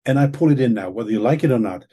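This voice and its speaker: Guy lecturing earnestly, dark husky voice, keeps saying okay? right?. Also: mid-range voice, expressive, racing. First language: German. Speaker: author and guest expert. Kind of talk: explaining in an interview.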